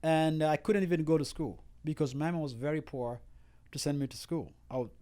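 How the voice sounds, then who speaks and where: A man's voice: on the high side, fairly quick, mellow. A man of about forty from Uganda with an East African accent, telling his own story emphatically as a podcast guest. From Uganda, Rwandan refugee family. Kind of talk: personal testimony in an interview.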